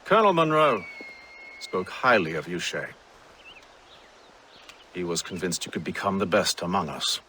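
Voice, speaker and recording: An actor playing a stern, dignified Templar leader in a video game, speaking formally and with measured gravity. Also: male voice, sits low, very animated, pushed and bright, slight background noise, exaggerated voice. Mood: measured, probing. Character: stern, dignified Templar grand master, grave and commanding. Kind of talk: dramatic video game acting.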